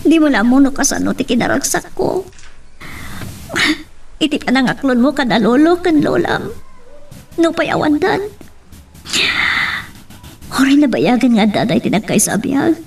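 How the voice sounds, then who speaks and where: A woman's voice: sits high, very animated, normal speed, gravelly, long pauses, a little mellow, heavily acted. Tender pleading Ilocano mother, slightly distant. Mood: tearful, pleading. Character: tender, pleading mother seeking her daughter's love. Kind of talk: Ilocano radio drama acting.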